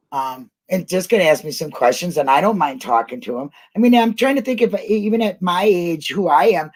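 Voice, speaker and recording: A woman's voice: lowish voice, darkish tone. Middle aged lady hosting a live chat show, rambles along super casual and folksy. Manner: chatty, colloquial, rambling. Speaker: host of an online talk show.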